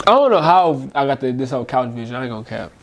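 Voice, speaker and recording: A man's voice: medium pitch, quick pace. A man in his twenties co-hosting a podcast, chatting casually in slangy banter, sounding congested. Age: 20s. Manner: animated slangy banter with frequent laughter. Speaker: podcast co-host. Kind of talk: casual chat.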